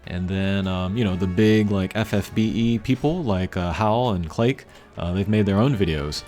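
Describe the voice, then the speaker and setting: A man giving casual, unscripted gameplay commentary in a rambling, low-key way with frequent hesitant pauses. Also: darkish tone. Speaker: game video creator. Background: video game music.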